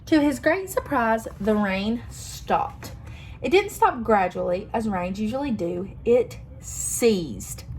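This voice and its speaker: A young woman reading a picture book aloud to children, expressive and pausing to ask them questions. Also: on the high side, slight background noise, low-quality recording. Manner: expressive, asks listeners questions. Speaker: children's story reader.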